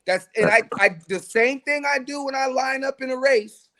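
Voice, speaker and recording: A man in his forties speaks with a noticeably high voice, pressing his points in an emphatic, motivational way. He is a coach chatting on a podcast. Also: moderate pace, sharp tone. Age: 40s. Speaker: track coach and trainer. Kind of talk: casual podcast conversation.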